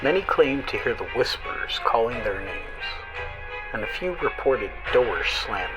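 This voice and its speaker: A man hosting a horror radio show, reading creepy stories in a slow, eerie, dramatic way, with music playing under his voice. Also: medium pitch, big pitch swings, low-quality recording. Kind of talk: scripted horror narration.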